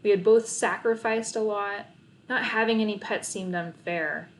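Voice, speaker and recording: A woman reading a children's story aloud as narrator, in an expressive storybook style. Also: medium pitch, normal speed, rough recording.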